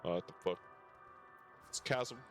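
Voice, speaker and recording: A man's voice: slow, a bit bright. A man streaming a video game with casual, offhand, slangy commentary and some swearing. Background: faint game music.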